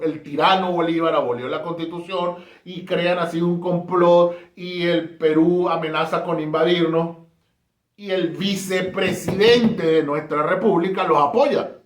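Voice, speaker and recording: A man from Caracas with a Venezuelan accent, giving an emphatic, rhetorical talk on history. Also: fairly high pitch. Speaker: history presenter. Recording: roomy echo.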